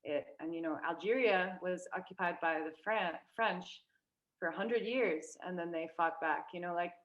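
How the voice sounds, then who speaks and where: A woman's voice: lowish voice, moderate pace, highs cut off. A young woman, a guest in a casual interview, talking hesitantly with many fillers and restarts. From United States.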